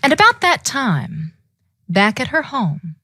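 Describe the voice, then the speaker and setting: A woman's voice: fairly high pitch, huge pitch swings, slow, bright, forceful tone, slight background noise. A woman telling a fairytale live, in a dramatic, emphatic way, drawing her listeners in as she goes. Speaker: storyteller.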